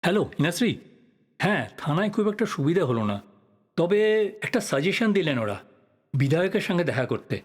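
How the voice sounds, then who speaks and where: An earnest investigative journalist speaks in a slightly high, very varied voice. He stays polite and persistent, as in an audio play. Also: very animated, brisk, pauses often. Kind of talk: audio drama acting.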